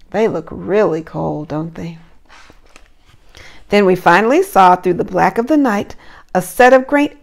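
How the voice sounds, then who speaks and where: A woman preschool teacher doing an animated read-aloud for young children, with simple encouraging questions. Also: mid-range voice, vocal fry.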